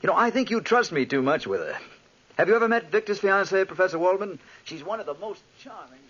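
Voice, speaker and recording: An actor playing a flippant, easygoing young gentleman and teasing friend, breezy and drily joking, in 1940s radio drama style. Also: sits high, big pitch swings, fast, husky, exaggerated voice. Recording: muffled 1940s radio recording.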